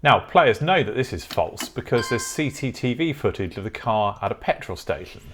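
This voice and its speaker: A man's voice: medium pitch, sing-song, normal speed, a little hiss or hum. A man with a British accent presenting a tutorial in a methodical, explanatory way.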